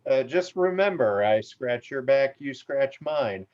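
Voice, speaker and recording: A man's voice: mid-range voice, animated, unhurried, darkish tone, close to an everyday voice. A man playing a slippery, smug tabloid reporter in a screenplay table read. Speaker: amateur actor in an online table read. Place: remote video call. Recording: dull video call audio.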